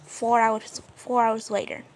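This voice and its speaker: A woman's voice: a little high, little pitch movement, slow pace. A woman casually narrating a homemade story as a video creator, hesitant and repeating her phrases. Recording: faint background noise.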